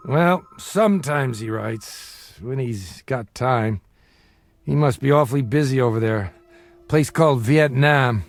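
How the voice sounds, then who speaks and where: An actor playing a boozy middle-aged small-time bookie in an audio drama, a glib, self-deprecating charmer and doting father given to theatrical flattery. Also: medium pitch, a little slow, dry recording, soft background music, natural voice. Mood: wistful, proud.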